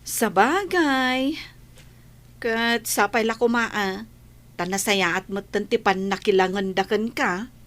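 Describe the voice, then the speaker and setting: A woman's voice: medium pitch, takes it slowly, pauses often, a bit bright. A woman playing a protective, indignant young friend in a radio drama, speaking emphatically and outspokenly. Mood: relenting.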